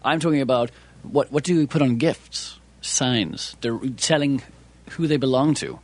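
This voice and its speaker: A man in a deadpan comedy chat, answering absurd questions in a dry, matter-of-fact way. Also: mid-range voice, moderate pace. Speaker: comedy co-host.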